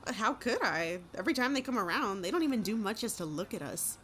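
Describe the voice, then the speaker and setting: A woman's voice: medium pitch, expressive, quick pace, fairly bright tone, a little hiss or hum, close to an everyday voice. An actress playing a plainspoken, incredulous village woman in an audio drama.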